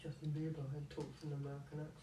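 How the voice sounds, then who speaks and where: An off-camera companion who chats casually and teases with quick interjections. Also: medium pitch, little pitch movement. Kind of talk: casual banter. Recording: roomy, noisy, off-mic.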